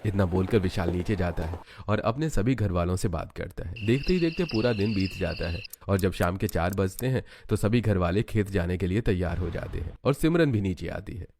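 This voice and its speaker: A male narrator tells a scripted cartoon story in a lowish register. There is some faint noise in the recording. The delivery is that of a storyteller reading from a script. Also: animated, brisk, hardly any pauses.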